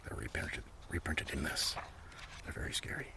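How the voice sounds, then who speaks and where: A man speaking in a soft, casual ASMR ramble that often slips toward a whisper, with unhurried murmured asides. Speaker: host of a comics collection ASMR video. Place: outdoors. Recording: noisy outdoor recording with breeze. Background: breeze, page flipping, ambient noise.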